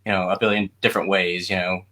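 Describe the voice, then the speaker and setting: A man's voice: deep voice, little pitch movement, quick pace, bright, forceful tone. A man talking casually as a panelist, informal and rambling in his delivery.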